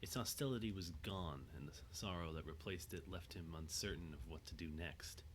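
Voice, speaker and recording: A man with a low voice narrates an audio drama. He reads scripted, dramatic prose as the storyteller. There is faint noise behind him. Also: brisk.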